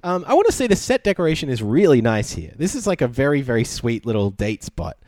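A man's voice: mid-range voice, huge pitch swings, fast talker, a little mellow, no echo. An Australian man casually recapping a show from home, laid-back and sarcastic with lots of ums. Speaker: podcast co-host.

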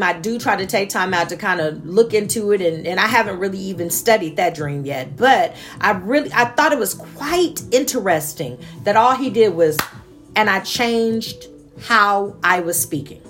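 A woman talking earnestly and passionately about a dream and her faith, laughing now and then between points. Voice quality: naturally high-pitched voice. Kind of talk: reflective personal monologue.

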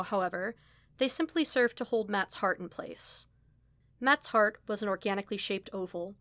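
A woman reading a fan story aloud in a steady, even storytelling manner. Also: mid-range voice, vocal fry, dry recording. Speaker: podfic reader. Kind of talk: scripted narration of a fan story.

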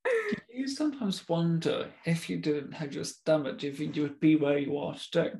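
A man's voice: a little high, animated, moderate pace, creaky, darkish tone. A man interviewing a guest in casual, encouraging talk.

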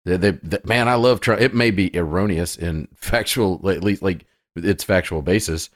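A man co-hosting a podcast in casual chat, blunt, crude and opinionated, with a deep voice. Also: moderate pace, no echo, clean studio sound. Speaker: podcast co-host.

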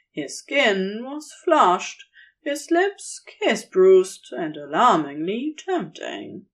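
A woman narrates a story from a script at an unhurried pace. Her pitch moves in lively swings from phrase to phrase.

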